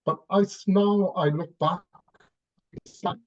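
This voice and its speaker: A man's voice: high-pitched, fairly even intonation, a little slow, darkish tone, lo-fi sound. A man from Newcastle in England sharing personal reflections on a panel, hesitantly repeating phrases as he thinks. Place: online video call.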